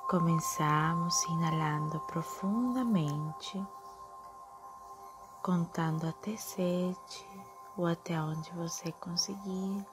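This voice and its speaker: Woman, meditation guide, very slow pace, soft breathy delivery, music behind. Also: lowish voice.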